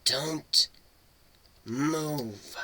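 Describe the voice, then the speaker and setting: A man's voice: fairly high pitch, very slow, bright, forceful tone, heavily acted. A man voicing a bossy, streetwise female cat guide in a fantasy storybook reading. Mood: tense whisper. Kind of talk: dramatic storybook character reading.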